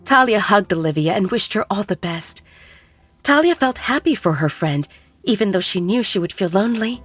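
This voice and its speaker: A woman reading a romance story aloud as a calm, even narrator. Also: medium pitch, moderate pace, no room sound, soft background music. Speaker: audiobook narrator.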